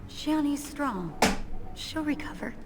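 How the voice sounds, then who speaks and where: Gentle, higher-voiced young elf. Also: female voice, animated, slow pace, heavy background noise, exaggerated voice. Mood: gentle concern. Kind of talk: fantasy video game acting.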